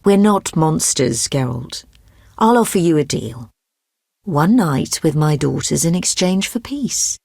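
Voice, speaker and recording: An actress playing a proud, seductive vampire madam in a fantasy video game, imperious, with a haughty, formal manner. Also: female voice, low-pitched, creaky, a little mellow, slightly rough, no echo, studio quality, exaggerated voice. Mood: indignant, haughty.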